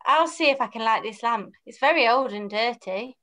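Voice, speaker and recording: A naive, eager young pantomime lad, played by a woman. The voice is high, with wide-eyed, stagey exclamations in an amateur read-through. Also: animated, moderate pace, a bit bright. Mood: lonely, calling out. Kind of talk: amateur pantomime script reading. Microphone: computer mic on video call.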